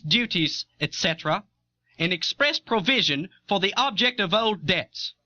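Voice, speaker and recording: An actor playing an earnest 18th-century American statesman in a formal, deliberate dramatised quotation. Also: high voice, sharp tone, no room sound, heavily acted. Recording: dull old audio cassette.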